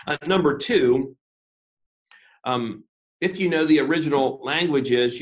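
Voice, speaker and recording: A man, a pastor, explaining his views in an interview in a measured, methodical way, with frequent uh and um pauses and some self-deprecating humor. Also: mid-range voice, rough recording.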